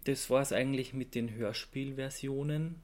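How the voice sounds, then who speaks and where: A man with an Austrian accent explaining things in a casual podcast chat as a host, often halting and searching for words. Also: mid-range voice, steady tone, a little mellow, slight background noise.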